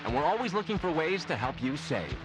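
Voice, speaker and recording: A man's voice: on the high side, big pitch swings, brisk, music in the background, low-quality recording. A man reading a scripted retail radio commercial as an announcer.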